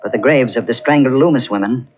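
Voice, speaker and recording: An actor playing a brooding uncle in an old radio mystery, speaking gravely and ominously. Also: male voice, medium pitch, quick pace, soft background music, natural voice. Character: brooding older man, uncle of the house. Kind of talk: 1940s radio acting. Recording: dull old radio transcription.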